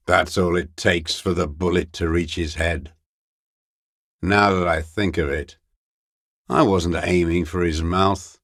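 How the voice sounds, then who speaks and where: A man playing a bitter, hateful old communist deserter in a video game, brooding and contemptuous, with a gravelly, raspy voice and halting delivery. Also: low voice, fairly bright tone, dry recording, clean studio sound, full character voice. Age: older. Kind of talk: video game character monologue.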